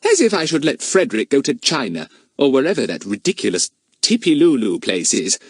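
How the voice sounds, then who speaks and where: A narrator voicing a brisk, no-nonsense middle-aged mother in a storybook. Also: medium pitch, wildly swooping pitch, slightly rough, no room sound, studio quality, voice pushed up. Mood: impatient. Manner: brisk and impatient.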